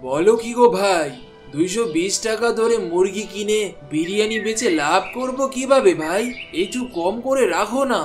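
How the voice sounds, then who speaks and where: Man voicing a high-pitched, scheming village cook. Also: moderate pace, close to an everyday voice. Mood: worried. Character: scheming, thrifty village biryani cook, husband and father. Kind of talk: cartoon dialogue voice acting. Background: background music.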